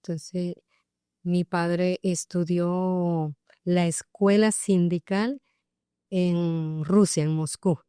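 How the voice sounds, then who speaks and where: A woman from Colombia's Caribbean coast with a smooth, clear voice on the lower side. She speaks slowly and hesitantly, pausing between phrases as she answers in a relaxed conversation. The sound is dry and close. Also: dry recording. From Barranquilla, Colombia. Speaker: interview guest, physician and professor. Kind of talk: casual podcast conversation.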